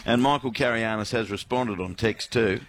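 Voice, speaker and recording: A man's voice: fairly low pitch, heavy background noise. An Australian man hosting a sports radio show, bantering and reading out listener texts. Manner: laughing, quick banter, reads out listener texts. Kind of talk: casual radio chat.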